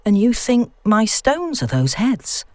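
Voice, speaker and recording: A woman's voice: on the high side, sing-song, slow, darkish tone, dry recording, pitched above the performer's own voice. A woman voicing an uneasy middle-aged woman, curious and wary, in an audiobook story. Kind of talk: audiobook dialogue.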